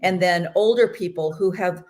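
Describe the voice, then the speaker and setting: A woman's voice: lowish voice, normal speed, darkish tone. A middle-aged woman hosting a casual interview over a video call, chatty and rambling. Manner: chatty, laughs easily, rambling. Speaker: host.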